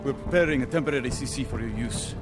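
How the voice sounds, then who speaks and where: An actor playing a weary but resolute Egyptian army lieutenant, giving formal, measured briefings in a video game. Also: male voice, mid-range voice, over music, close to an everyday voice. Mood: formal, grim. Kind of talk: video game cutscene acting.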